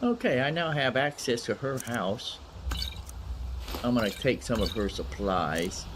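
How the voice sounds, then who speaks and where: A man's voice: mid-range voice, animated, unhurried. A man giving casual, rambling, folksy commentary as a gaming streamer. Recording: loud background noise under commentary. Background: game ambience and dialogue.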